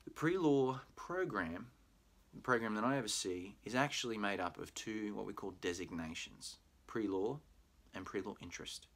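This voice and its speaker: A middle-aged Australian man with a very low voice talks prospective students through a university program. He explains things in a friendly, patient way, dropping in plenty of "uh"s. He is recorded on a webcam in a small room at home. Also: moderate pace, fairly bright tone. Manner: friendly, explanatory, with frequent "uh" fillers. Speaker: pre-law program manager. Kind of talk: recorded admissions presentation. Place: home room with bookshelf, indoors.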